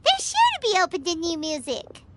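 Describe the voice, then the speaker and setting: A woman's voice: very high-pitched, huge pitch swings, brisk, very noisy, heavily acted. A woman voicing a small, cheerful, perky fairy-like girl companion in a video game, with informal, chirpy delivery. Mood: upbeat, reassuring.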